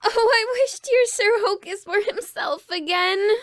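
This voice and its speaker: A woman voicing an earnest, easily alarmed little girl in a storybook, with wide-eyed, drawn-out exclaiming. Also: extremely high pitch, pitched above the performer's own voice. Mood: pleading.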